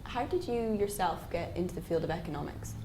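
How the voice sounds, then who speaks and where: A woman's voice: fairly low pitch, lively intonation, brisk, reverberant, noisy background. A student woman interviewing an economist, asking polite questions with some hesitation.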